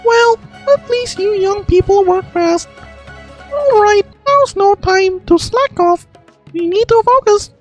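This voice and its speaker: A man voicing a proud, bossy senior female scholar in a game, brisk and scolding. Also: very high-pitched, faint music behind, voice pushed up. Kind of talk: game character voice acting.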